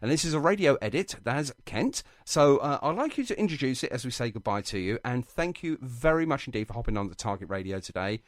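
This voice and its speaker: A man's voice: medium pitch, very animated, fast talker, few pauses, dry recording. A man hosting a live radio interview in a chatty, effusive, rambling and anecdotal way. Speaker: radio show host.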